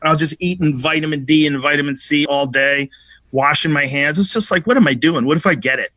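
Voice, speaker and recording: Male comic guest, bright voice a touch high, swearing storyteller, dry studio sound. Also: fairly quick, no echo. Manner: profane, animated storytelling. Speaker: comedian guest. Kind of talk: casual podcast chat.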